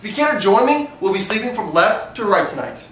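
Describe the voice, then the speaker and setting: An actor playing an exasperated, sarcastic young lawyer husband, arguing drily in theatrical comic dialogue. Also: male voice, high voice, very animated, brisk, a bit bright, recorded from a distance. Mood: weary, sarcastic. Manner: dry, argumentative, sardonic. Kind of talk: theatrical comedic stage dialogue. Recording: echoey room with background noise.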